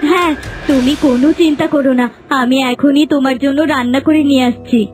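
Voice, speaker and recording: Cartoon casting: actress as spiteful young village wife, high voice, melodramatic delivery. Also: female voice, fairly even intonation, fairly quick, music in the background, close to an everyday voice. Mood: anxious, pleading. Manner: dramatic, exclamatory. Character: spiteful young second wife, scolding bully turned cowering servant. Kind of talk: Bengali cartoon voice acting.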